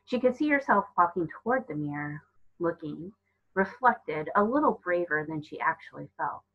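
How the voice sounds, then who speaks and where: A woman reads a children's novel aloud in a lowish voice with a slightly husky grain. She narrates as a storyteller, and the recording sounds a bit dull. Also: lively intonation, normal speed. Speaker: storybook reader.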